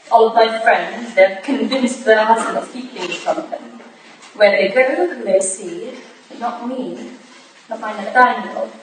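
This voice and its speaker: A woman playing a hurt, suspicious Victorian wife in a staged reading, pleading earnestly with her husband. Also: a little high. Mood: wounded. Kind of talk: staged reading of a period drama. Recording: distant, very echoey room, faint noise.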